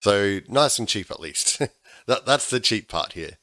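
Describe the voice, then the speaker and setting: A man, slightly hoarse because he is losing his voice, talking through a game-building tutorial in a chatty way with frequent restarts. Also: lowish voice, lively intonation, a little slow, bright, forceful tone, no echo. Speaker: gaming tutorial host. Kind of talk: casual game tutorial voice-over.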